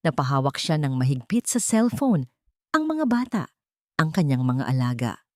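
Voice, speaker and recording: A woman's voice: low-pitched, big pitch swings, normal speed, a little mellow, no echo, studio quality. A woman with a Filipino accent narrating a scripted love story in a dramatic storytelling style.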